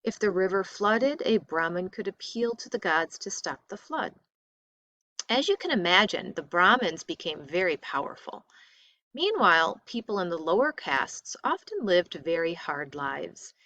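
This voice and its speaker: A woman teacher reading a textbook story aloud expressively, with deliberate dramatic emphasis and phrases that drop away softly at the end. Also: on the low side, sing-song.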